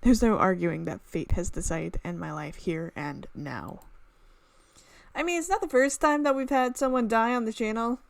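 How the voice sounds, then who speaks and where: A woman hosting a gaming video, reading a visual novel aloud in an expressive way with exclaimed reactions. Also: a little high, normal speed, vocal fry, dry recording.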